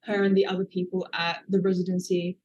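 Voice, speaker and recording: A woman's voice: fairly low pitch, level tone, a little fast, a little mellow, dull audio. A woman, an author, answering interview questions in a thoughtful, hedging way with many fillers. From Australia. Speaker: author guest.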